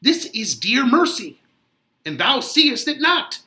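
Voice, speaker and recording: Man performing an older friar, slow measured delivery, bright forceful tone, solemn, admonishing, Shakespearean drama. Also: very high voice, sing-song, pitched above the performer's own voice, heavily acted. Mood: exasperated. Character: older friar, patient but exasperated father-figure counsellor.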